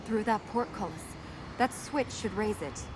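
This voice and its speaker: An actress playing a solemn, resolute young princess in a video game, speaking in an earnest, formal way. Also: female voice, mid-range voice, animated, unhurried, lightly acted. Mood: solemn, determined. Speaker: video game companion character. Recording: game audio over clear ambient noise.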